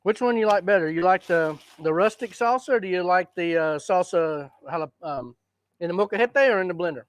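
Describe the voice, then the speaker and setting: A man's voice: sits high, fairly quick. A man, explaining recipes in an easygoing cooking chat. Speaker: cooking show host. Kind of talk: casual cooking demonstration.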